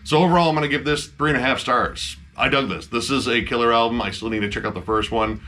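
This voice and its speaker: A man's voice: mid-range voice, fast, pushed and bright. A man co-hosting a casual album review chat, emphatic and swearing freely.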